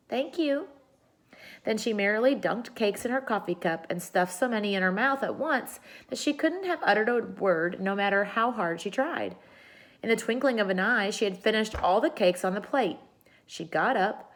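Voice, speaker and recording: A woman reading a children's story aloud with expressive narration. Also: medium pitch. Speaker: storybook reader.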